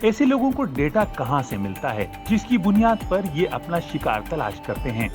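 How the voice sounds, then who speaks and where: A man's voice: on the high side, wildly swooping pitch, normal speed, over music. A man with a Pakistani accent reading scripted narration for a news report, posing questions.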